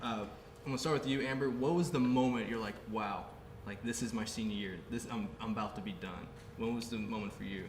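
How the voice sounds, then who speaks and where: A man's voice: fairly low pitch, fairly quick. A man hosting a casual round-table interview, asking questions with lots of fillers. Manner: relaxed, filler-heavy questioning with frequent laughs. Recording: echoey room with background noise.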